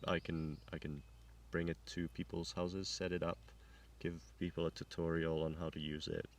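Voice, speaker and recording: A man with a very deep voice, talking about his sauna business in a relaxed, halting way and repeating words as he goes. He is picked up by a distant mic outdoors, with a faint hum of surroundings behind him. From Finland. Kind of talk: casual interview. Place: outdoors on a farm.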